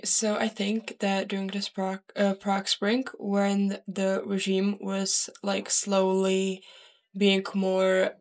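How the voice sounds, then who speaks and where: A woman's voice: medium pitch, creaky. A young woman from the Czech Republic with a Czech accent, giving her opinion in an informal student discussion. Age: young adult. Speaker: student panelist.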